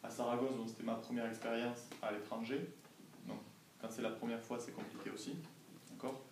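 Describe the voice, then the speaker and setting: A man's voice: medium pitch, flat delivery, fairly quick. Male, twenties, careful teacherly interviewee, recorded at a distance in an echoey room. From France. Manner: didactic, careful, frequent checking questions. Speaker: language assistant being interviewed by students. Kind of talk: informal classroom interview answers. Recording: echoey classroom, distant mic, faint noise.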